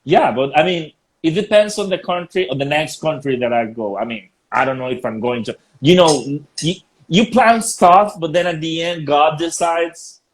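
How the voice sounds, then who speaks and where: Man, Spanish first language, interview host, slightly high voice, halting, laughs a lot. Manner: laughs often, halting, searches for words. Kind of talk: casual interview chat.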